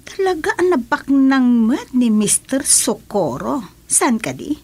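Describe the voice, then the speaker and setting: An inquisitive woman in a radio drama, with a highish, husky voice that swings widely in pitch. She has an Ilocano accent. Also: sing-song, unhurried, darkish tone, full character voice.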